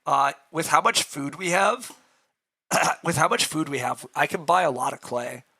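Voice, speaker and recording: A man with a hoarse, roughened voice talks through his moves on a live game stream. His tone is bright and edged, and he clears his throat now and then. He reasons aloud and answers viewers' comments. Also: a little high, a little slow. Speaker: streamer playing a board game.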